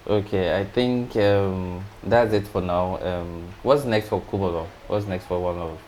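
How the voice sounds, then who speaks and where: A man's voice: sits low, measured pace, a little mellow, a little hiss or hum. A man hosting an interview, speaking briefly and casually with short affirmations. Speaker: interview host.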